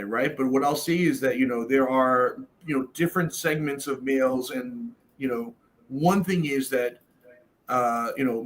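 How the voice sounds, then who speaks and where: A middle-aged man from Canada talks in a loose, profane, conversational way. He is blunt and fills his sentences with "you know" and "right?" as he tells his stories. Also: medium pitch. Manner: blunt, profane, storytelling with many fillers. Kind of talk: casual roundtable discussion.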